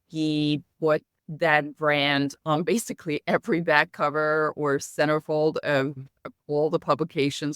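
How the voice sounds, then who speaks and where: A middle-aged woman with a near-American accent over her Hungarian first language, speaking in a measured, reflective way. Her voice is smooth and clear, recorded close and dry with no room sound. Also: sits low, no echo. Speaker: guest, company founder. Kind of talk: podcast interview.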